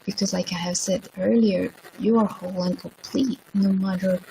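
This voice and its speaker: A middle-aged woman from Colombia, speaking, gives a slow, reflective motivational livestream talk while sick, with many ums. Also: medium pitch, fairly even intonation, gravelly, mellow, a little hiss or hum, low-quality recording. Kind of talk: livestream monologue.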